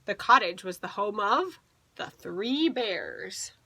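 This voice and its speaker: A woman's voice: medium pitch, expressive, slow, bright, forceful tone. A woman teacher reading a picture book aloud to young children, expressively and with little explanatory asides.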